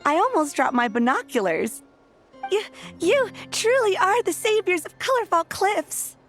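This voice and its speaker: A woman's voice: very high voice, very animated, a little slow, heavily acted. A woman playing an eager, effusive young event staffer in a video game, gushing and earnest, with music behind. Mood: gushing, grateful.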